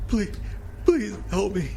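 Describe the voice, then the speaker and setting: A man's voice: high-pitched, big pitch swings, slow pace, gravelly, warm tone, faint music behind, heavily acted. A man acting a terrified captive in an audio drama, stammering and pleading in panic. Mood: panicked. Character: terrified man strapped to an alien exam table.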